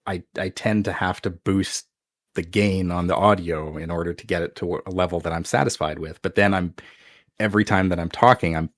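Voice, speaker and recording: A soft-spoken man chatting casually as an interview guest, conversational and a little self-deprecating about his creative work. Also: deep voice, brisk, dry recording.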